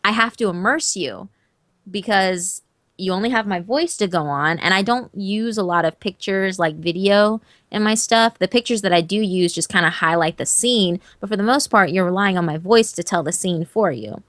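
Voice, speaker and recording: A woman who narrates horror stories, chatting as an interview guest in an animated, emphatic way and swearing casually. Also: mid-range voice, moderate pace, no room sound, studio quality.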